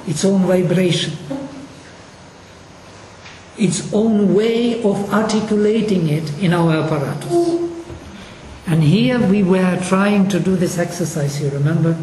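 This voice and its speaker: Brief: Russian-accented linguistics lecturer, mellow tone, slow and deliberate delivery, echoey hall with faint room hum. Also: mid-range voice. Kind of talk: course lecture. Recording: echoey hall, mic at a distance.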